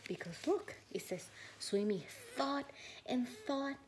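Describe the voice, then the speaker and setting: A woman teacher reading a children's storybook aloud, expressive and questioning, pausing to think out loud. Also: high voice, slight background noise.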